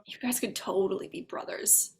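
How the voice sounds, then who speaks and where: A woman's voice: normal speed, a little mellow, natural voice. An actress at a table read playing a perky young female coffee shop cashier, breezy and slangy. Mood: gushing.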